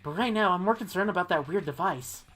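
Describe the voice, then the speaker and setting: Woman playing a lively, uneasy teenage schoolboy. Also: lowish voice, fast talker, soft background music. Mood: puzzled, narrating. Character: earnest, uneasy teenage schoolboy narrating a mystery game. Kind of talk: amateur visual novel dub with narration.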